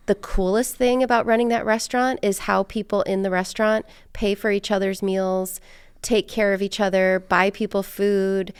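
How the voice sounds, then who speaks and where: Female podcast host, American, informal and talkative, crisp with vocal fry, close dry sound. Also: mid-range voice, dry recording. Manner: chatty, informal, peppered with "like". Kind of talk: casual conversational interview.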